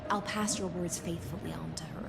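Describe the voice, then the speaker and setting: A woman playing a calm, elegant and cunning siren courtier in a video game, composed and formal, with music behind. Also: lowish voice, brisk, vocal fry, very noisy. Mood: calm, conspiratorial. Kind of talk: scripted video game acting. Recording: loud music under the voice.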